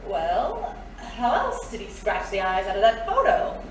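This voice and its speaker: A woman's voice: mid-range voice, huge pitch swings, unhurried. An actress playing a jealous, scheming woman in a period noir radio drama, dramatic and pleading. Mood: desperate pleading. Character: desperate scheming jealous woman, old flame of a crook. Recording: roomy, distant, faint background noise.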